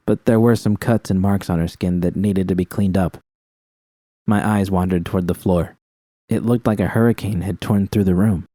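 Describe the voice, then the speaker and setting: A man's voice: low-pitched, dark tone, no room sound, studio quality. A man reading a dramatic first-person story as scripted audiobook narration. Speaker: audiobook narrator of fan fiction.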